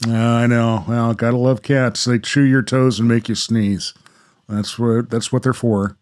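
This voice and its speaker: A man's voice: mid-range voice, steady tone, measured pace, a little mellow. A man working as host and narrator of a radio play, moving between storytelling and casual talk.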